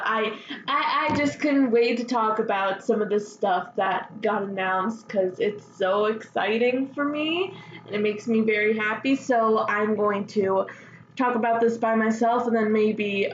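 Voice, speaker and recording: A woman hosting a fan news show solo, chatting casually with gushing, excitable enthusiasm. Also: medium pitch. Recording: dull lo-fi sound, roomy echo, faint noise.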